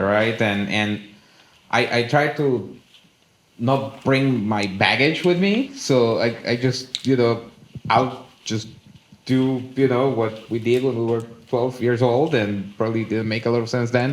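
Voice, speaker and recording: A man from El Salvador speaking as a panelist at a conference, earnest and candid, often restarting and repeating his words. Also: mid-range voice. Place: conference room with live audience. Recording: roomy hall echo.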